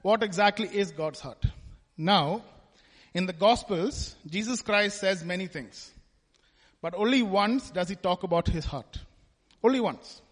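Man, high voice, giving a chatty church testimony, echoing in a big hall. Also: a little slow. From Kerala, India. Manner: conversational, tags lines with "right?". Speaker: church speaker. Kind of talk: personal testimony talk at church. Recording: long hall reverb.

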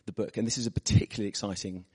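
A preacher with a hoarse, husky voice, giving a chatty sermon with personal stories to a church audience. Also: male voice, fairly low pitch, fairly even intonation, rapid-fire, dark tone. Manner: chatty, storytelling, asks the audience. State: hoarse from losing his voice. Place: church hall. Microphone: microphone. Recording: reverberant church hall.

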